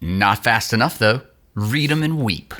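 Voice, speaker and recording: A cocky young man played by an actor with a bright, forceful voice and very animated pitch. He is a scrappy gambler, brash and wheedling, in an audio drama. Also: measured pace.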